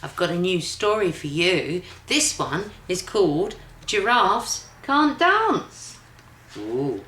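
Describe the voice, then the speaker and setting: A woman's voice: on the low side, big pitch swings, slow pace, a bit bright. An older woman reading a children's picture book expressively, like a grandmother, with exclamatory asides to a child. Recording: roomy echo, faint background noise.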